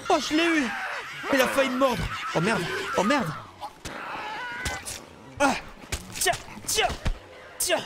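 A man streaming an improvised game roleplay, talking in an excitable, theatrical way. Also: very high voice, slow, long pauses. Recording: noisy game sound behind voice.

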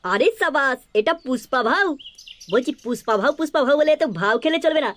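A performer voicing a cocky, wheedling little boy DJ in a comedy cartoon, cheeky and smooth-talking. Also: sits high, lively intonation, fairly quick, a bit bright.

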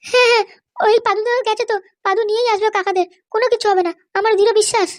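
A man voicing a caring cartoon aunt who coaxes and reassures in a squeaky voice. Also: fairly even intonation, rapid-fire, no room sound. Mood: caring, reassuring. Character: caring, soothing cartoon aunt tending the wounded. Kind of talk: amateur comedy cartoon dubbing.

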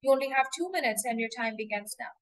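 A young adult woman acting as an English test examiner, prompting a candidate slowly and repeating model answers over a video call. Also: fairly high pitch, animated. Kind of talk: mock speaking test, coaching.

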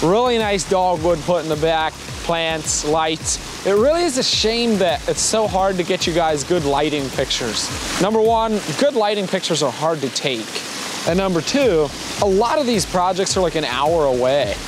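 A man's voice: fairly high pitch, lively intonation, normal speed. A man giving a casual, rambling, self-deprecating project update to camera as a landscaper vlog host, outdoors. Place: outdoors by a driveway waterfall. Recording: clearly noisy outdoor audio with running water.

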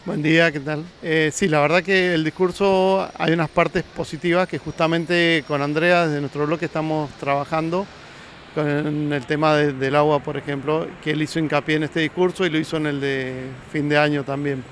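An Argentine man with a fairly high voice speaks as a councillor in an unscripted interview. Faint street and vehicle noise is behind him. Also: little pitch movement, moderate pace. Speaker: city councillor being interviewed. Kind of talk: spontaneous interview answer. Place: outdoors by a building. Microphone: handheld reporter microphone.

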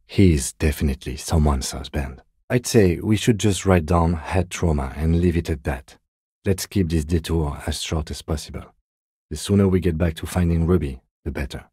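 Composed police detective, video game dialogue, husky, dark tone, close dry recording, restrained, dutiful. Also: male voice, extremely low pitch, dry recording, full character voice. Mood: calm, analytical. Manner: measured, restrained, matter-of-fact.

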